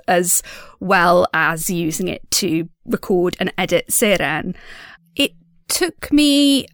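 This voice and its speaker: A woman speaking in an informal audio diary, chatty and self-deprecating in an animated way. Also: medium pitch, no room sound, studio quality. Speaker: audio drama creator and diary host.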